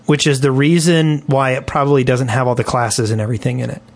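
A man with a medium-pitched voice speaks as a co-host on a casual gaming podcast. He explains things in a loose, slightly hesitant conversational manner. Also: level tone, quick pace.

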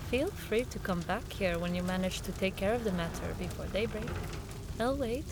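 A woman playing a coy, flirtatious lover with an unhurried way of talking in an audio drama. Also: mid-range voice, lightly acted. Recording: clearly noisy ambient background.

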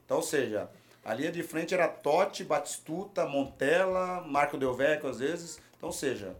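A man with a Brazilian accent, a retired footballer, telling animated stories in a casual chat and repeating his phrases. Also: a little high, normal speed. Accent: Brazilian Portuguese.

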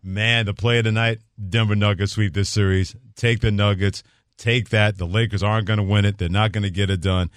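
Lower-voiced American sports radio co-host, man. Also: fairly even intonation, a little fast, clean tone, dry recording, clean studio sound. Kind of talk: sports talk banter with opinions.

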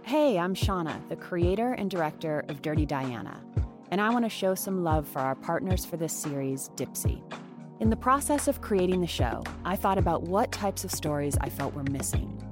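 A woman's voice: fairly low pitch, sing-song, moderate pace. A woman, a show's creator, reading a scripted sponsor message. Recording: music behind the voice. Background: music.

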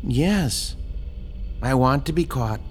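An actor playing a calm, demented captor in a radio horror drama, speaking with polite, measured menace. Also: male voice, medium pitch, soft background music. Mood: menacing, resigned.

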